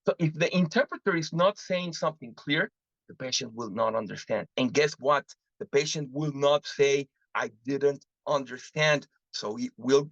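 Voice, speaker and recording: A man from Mexico, presenting a live webinar on interpreting and asking the audience lively rhetorical questions. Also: fairly high pitch, no room sound. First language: Spanish. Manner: animated teaching, frequent rhetorical questions, switches into Spanish examples. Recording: muffled lo-fi dry webinar audio.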